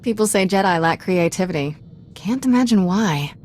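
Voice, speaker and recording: A woman's voice: medium pitch, animated, moderate pace, darkish tone, slight background noise, natural voice. A woman acting a sardonic young Jedi apprentice in a video game, with a casual, flippant way of talking. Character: sardonic young female Jedi apprentice, former street urchin.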